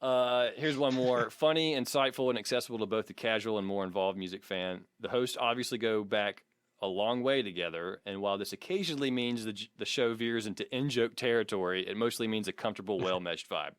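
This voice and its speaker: A man's voice: on the low side, brisk, clean tone, no echo, studio quality. A man co-hosting a podcast in casual, joking, sweary chat, reading out listener comments. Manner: profane, joking. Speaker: podcast co-host.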